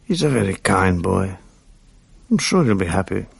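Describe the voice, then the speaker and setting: A man's voice: sits low, very animated, normal speed, gravelly, breathy edge, dry recording, exaggerated voice. An actor playing an ailing, grieving, lonely man speaking his thoughts in a subdued, reflective radio drama monologue. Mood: grieving, weary.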